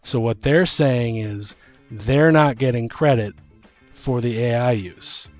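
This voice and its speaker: A man talks casually as if on a podcast, at a medium pitch. He is informal and works through what-if examples to argue his view. Faint music plays behind him. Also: takes it slowly.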